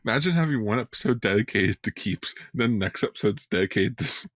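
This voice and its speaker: A man's voice: on the low side, animated, fast talker, a bit bright, no room sound, studio quality. A man co-hosting a podcast in casual fan chat, informal and sweary in his way of talking. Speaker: podcast co-host.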